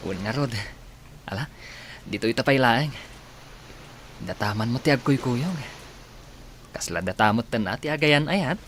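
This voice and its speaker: A man's voice: medium pitch, animated, unhurried, pauses often, a bit bright, slight background noise. A man playing an easygoing, frank young friend in an Ilocano radio drama. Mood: light, joking.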